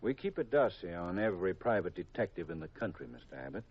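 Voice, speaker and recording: A formal, guarded army colonel with a deep American voice. He speaks gravely and with measure, like official business in a 1950s radio play. The recording is old and muffled. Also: very animated, quick pace, heavily acted. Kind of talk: 1950s radio drama acting.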